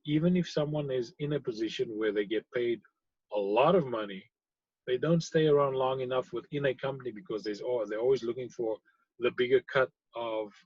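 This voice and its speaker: A man from South Africa, a pharmacy technician, answering interview questions thoughtfully, with frequent pauses and fillers. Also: medium pitch, darkish tone, breathy edge, dry recording, muffled recording. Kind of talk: conversational interview.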